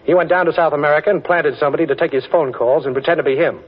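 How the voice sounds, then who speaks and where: An actor playing a quick-witted, confident amateur detective in a 1940s radio drama, breezy and wry, on a dull old recording. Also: male voice, fairly high pitch, breathy edge. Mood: cool, wry.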